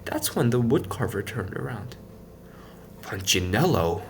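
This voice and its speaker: A man reading a children's story aloud with expressive, dramatic emphasis. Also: on the low side, moderate pace, background noise. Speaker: storybook reader.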